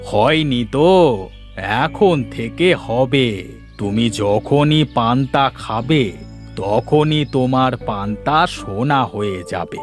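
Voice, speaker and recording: A performer voicing a solemn old man granting a boon in a cartoon, speaking in a slow, drawn-out way. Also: mid-range voice, animated, faint music behind, pitched below the performer's own voice.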